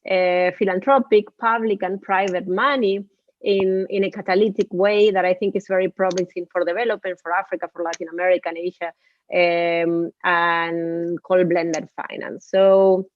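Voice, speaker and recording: A woman's voice: lowish voice, steady tone, normal speed, narrow, tinny audio. A woman from Argentina with an Argentinian accent, giving a lecture on a webinar. She talks earnestly and often restarts and repeats words. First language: Spanish. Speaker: professor and webinar speaker.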